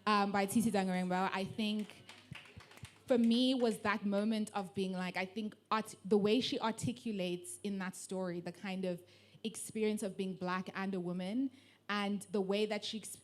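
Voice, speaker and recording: A young woman talks on a festival panel at a middle pitch. She speaks in a quiet, thoughtful way, pausing and restarting as she works through her ideas. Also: fairly even intonation. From South Africa. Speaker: panelist, online book lover. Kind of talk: casual panel talk.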